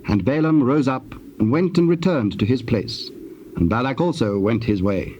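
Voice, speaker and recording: A man narrating scripture for a dramatized audio Bible in a measured, formal way. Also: mid-range voice, a little mellow, muffled recording, close to an everyday voice. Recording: faintly hissy old cassette tape.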